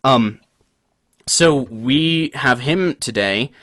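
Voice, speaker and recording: A man's voice: mid-range voice, extremely slow, no room sound, clean studio sound. A man co-hosting a podcast, talking casually about acting, rambling with lots of fillers. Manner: relaxed, rambling, many fillers. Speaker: podcast co-host. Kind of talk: casual chat.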